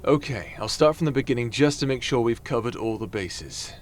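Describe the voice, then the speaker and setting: A man playing a breezy, roguish adventurer god in an ASMR fantasy audio roleplay, casual and animated with hesitant bluster. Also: medium pitch, brisk, soft background music, close to an everyday voice. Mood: excited, chatty.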